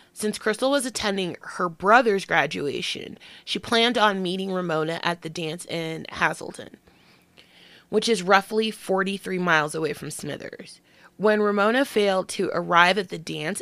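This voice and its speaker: A woman's voice: on the low side, a bit bright, no room sound. A woman hosting a true crime podcast with allergies and a slightly nasal voice, reading the case and slipping into casual, profane asides. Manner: reads the case then breaks into slangy, profane asides.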